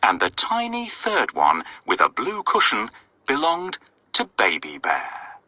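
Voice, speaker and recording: A man tells a children's story in a very bright, forceful voice with a husky grain. His delivery swoops widely and expressively as he addresses young listeners, in a dry, close recording. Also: mid-range voice, wildly swooping pitch, slow, no echo. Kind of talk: scripted children's story narration.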